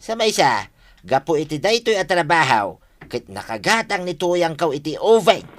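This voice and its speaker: A man's voice: a little high, sing-song, moderate pace. A man playing a proud, reluctant husband who comes round to helping, in an Ilocano radio comedy drama. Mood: willing, cheerful. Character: proud, reluctant husband grudgingly turning helpful.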